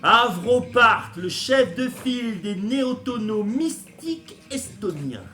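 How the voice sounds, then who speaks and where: A man acting in a comedy sketch, teasing and wry in lively banter. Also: extremely high pitch, slow, soft background music.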